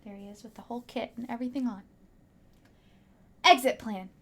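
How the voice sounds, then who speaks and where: A woman teacher reading a children's picture book aloud with dramatic emphasis and hushed asides to young listeners. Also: fairly high pitch, fairly even intonation, a little fast, creaky.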